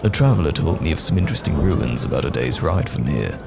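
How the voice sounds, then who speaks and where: A man acts out a roaming fantasy knight with an extremely low voice that moves expressively. He is courteous yet guarded and wry, choosing old-fashioned words with care. Music plays behind him in this audio roleplay. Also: big pitch swings, quick pace, vocal fry, full character voice. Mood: polite, reflective.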